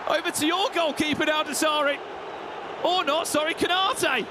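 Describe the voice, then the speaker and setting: High-pitched shouting esports caster, a man. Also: moderate pace, noisy background. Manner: excitable, shouting at big moments. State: losing his voice. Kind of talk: play-by-play commentary.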